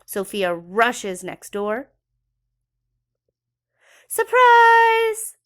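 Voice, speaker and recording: A woman's voice: mid-range voice, expressive, a little slow, a bit bright. A woman reading a children's story aloud expressively, with dramatic emphasis for young listeners. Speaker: children's book read-aloud educator.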